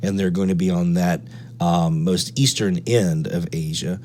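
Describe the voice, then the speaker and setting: Low-voiced American man explaining. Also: measured pace. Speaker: radio hobby host. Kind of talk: unscripted explanatory commentary. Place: indoor room at a radio desk. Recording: steady background noise and hum. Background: hum and noise.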